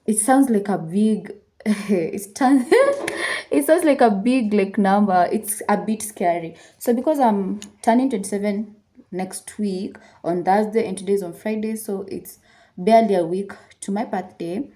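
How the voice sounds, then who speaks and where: A woman's voice: medium pitch, measured pace, warm tone. A woman, chatting casually to her viewers while she does her makeup, slipping Swahili phrases into her English. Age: 20s. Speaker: lifestyle YouTuber. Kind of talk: chatty get-ready-with-me talk while doing makeup. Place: indoors at home.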